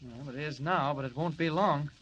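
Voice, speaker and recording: An actor playing an earnest newspaper reporter, urgent and pressing, in a 1940s radio serial. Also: male voice, fairly high pitch, normal speed, fairly bright tone. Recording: dull, hissy old radio recording.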